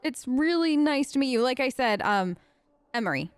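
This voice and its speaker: A woman with a fairly high voice plays and reacts in character as a let's play host. She is informal and wry, recorded close and very dry. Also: animated, moderate pace, clear, resonant tone, dry recording. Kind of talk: casual in-character gaming commentary.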